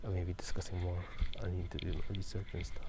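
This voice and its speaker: Low hushed man whispering outdoors at night. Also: flat delivery, fast. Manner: hushed, half-whispered, hesitant rambling. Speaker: gaming and ASMR video creator. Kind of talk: ASMR whispered rambling chat. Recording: noisy night outdoors, frogs croaking. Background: frogs croaking, night ambience.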